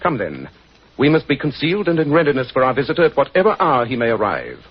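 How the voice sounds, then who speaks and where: Commanding detective, animated old radio voice. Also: male voice, medium pitch, fast talker. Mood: brisk command. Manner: clipped, authoritative, formal. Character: shrewd, commanding gentleman detective, stern and resolute. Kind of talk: 1940s radio drama acting. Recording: dull, noisy 1940s radio recording.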